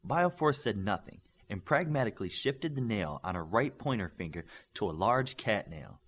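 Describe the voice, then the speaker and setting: Man, audiobook narrator, scripted fiction reading, lively intonation. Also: fairly low pitch, normal speed, husky. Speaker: audiobook reader.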